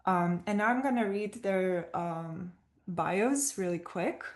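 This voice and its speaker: A woman's voice: lowish voice, slow pace. A woman with a Romanian accent moderating an online panel, reading speaker bios with many fillers and stumbling over names, on a video call.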